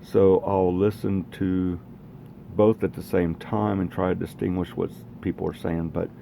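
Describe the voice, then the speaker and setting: A man with a very low, creaky voice gives a casual equipment demo. He talks in a plainspoken, rambling way. There is faint noise behind him. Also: flat delivery, a little slow, dark tone. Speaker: radio hobbyist presenting own equipment. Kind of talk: casual equipment demonstration vlog. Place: home garage.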